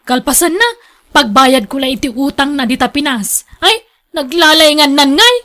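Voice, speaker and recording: A woman's voice: high-pitched, lively intonation, moderate pace, a bit bright. A woman with a northern Philippine accent playing a firm, blunt working wife and mother in a radio drama. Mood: indignant. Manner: blunt, scolding.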